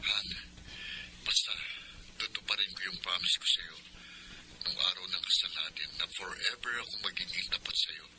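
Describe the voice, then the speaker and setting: Actor as devoted young husband, lowish voice, noisy recording. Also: male voice, normal speed, pauses often, close to an everyday voice. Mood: reassuring, tender. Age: young adult. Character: devoted young student husband, affectionate and earnest. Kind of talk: Filipino radio drama acting.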